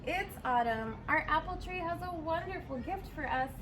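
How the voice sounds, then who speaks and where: High-voiced woman reading to children. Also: a crowd in the background. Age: 30s or 40s. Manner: expressive, drawn-out words, simple questions to young listeners. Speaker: teacher reading a picture book to children. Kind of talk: children's story read-aloud with asides. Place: outdoors in a fenced backyard. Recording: outdoor recording with clear background noise.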